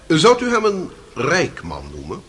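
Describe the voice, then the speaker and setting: An actor playing an insistent public prosecutor in a radio play, questioning formally and probingly. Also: male voice, on the high side, wildly swooping pitch, a little slow, a bit bright, slightly rough, full character voice.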